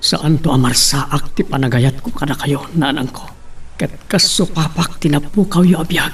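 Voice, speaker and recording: Man playing grieving avenger, slightly high voice, solemn, declamatory, far from mic, drama monologue. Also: normal speed, fairly bright tone, a little hiss or hum, lightly acted. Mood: mournful, vengeful. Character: grieving vengeful son vowing at mother's grave. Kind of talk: radio-style Ilocano drama monologue. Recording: distant mic pickup.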